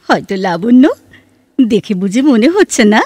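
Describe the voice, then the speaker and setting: A woman's voice: a little high, sing-song, slow pace, darkish tone, breathy edge, no echo, studio quality, heavily acted. An actress playing a poised, restrained young literary woman in a Bengali audio drama, lyrical and knowing. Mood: surprised, amused. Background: background music.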